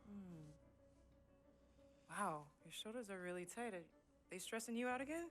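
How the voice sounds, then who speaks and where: A woman's voice: mid-range voice, animated, normal speed, a bit bright, natural voice. An American woman playing a devoted, soothing young girlfriend in a video game cutscene. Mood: caring. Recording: music behind the voice.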